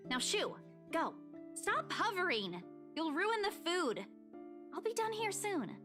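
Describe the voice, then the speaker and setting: A woman's voice: very high voice, big pitch swings, slow, full character voice. An actress playing a bossy, teasing but caring young woman in a visual novel game. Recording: music behind.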